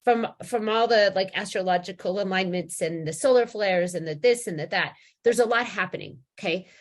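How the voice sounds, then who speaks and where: A hoarse woman teaching about spiritual awakening in a casual talk, rambling with many restarts and slowing down to stress key words. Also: mid-range voice.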